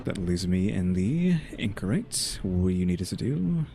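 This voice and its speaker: A man's voice: low voice, big pitch swings, normal speed, creaky. A man streaming a game, talking casually as he plays and reading dialogue choices aloud over game music. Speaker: game streamer playing a story quest.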